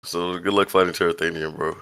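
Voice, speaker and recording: Lowish-voiced male developer hosting a chat Q&A, loose and slangy. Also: little pitch movement, a little fast, a bit bright. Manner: slangy, laughs often, says bro a lot. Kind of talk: casual voice-chat Q&A.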